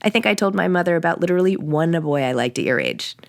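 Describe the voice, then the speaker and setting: A woman's voice: fairly low pitch, lively intonation, fast talker, creaky, no room sound, studio quality. Woman acting middle-aged mom, weary, sarcastic, exasperated, placating, audiobook dialogue.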